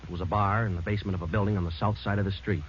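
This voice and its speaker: An actor playing a terse, weary homicide sergeant in a radio police drama, clipped and low-key. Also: male voice, fairly even intonation, rapid-fire, natural voice. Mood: grim, flat. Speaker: narrator and lead actor. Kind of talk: 1940s-50s radio police drama acting. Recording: muffled, noisy old radio recording.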